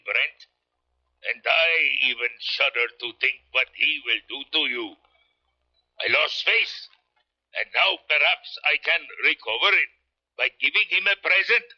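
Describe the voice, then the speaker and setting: An actor playing a proud, menacing rebel army colonel in a 1970s radio drama, speaking formally and deliberately with threat. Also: male voice, mid-range voice, slightly rough. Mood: cold menace. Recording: muffled old radio broadcast recording.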